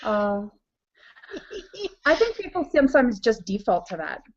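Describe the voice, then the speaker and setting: A woman co-hosting a casual chat over a video call, talking fast and teasing with wry asides and giggles. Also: a little high, big pitch swings. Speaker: podcast co-host. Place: living room with a couch. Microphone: webcam on a video call. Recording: dull lo-fi video call audio.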